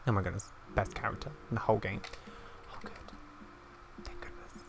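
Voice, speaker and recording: A man's voice: fairly low pitch, steady tone, a little fast, a crowd in the background. A man giving casual gameplay commentary in between reading dialogue aloud. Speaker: gaming YouTuber.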